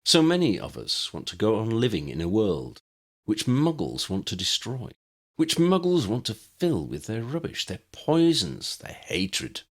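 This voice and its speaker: A man voicing a calm, fanatical dark wizard giving a quiet, solemn speech in an audiobook. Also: fairly low pitch, measured pace, voice pushed up, heavily acted. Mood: calm fervour.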